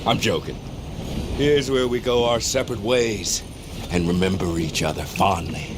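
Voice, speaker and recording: An actor playing a roguish, teasing outlaw comrade in a video game cutscene, with a wry delivery. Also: male voice, fairly low pitch, normal speed, background noise, natural voice.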